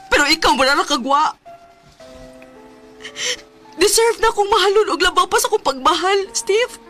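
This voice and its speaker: A woman's voice: very high-pitched, animated, normal speed, sharp tone, heavily acted. An actress playing a heartbroken, pleading young woman in a radio drama, with a Cebuano accent. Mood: hurt, pleading. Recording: music bed behind the voice.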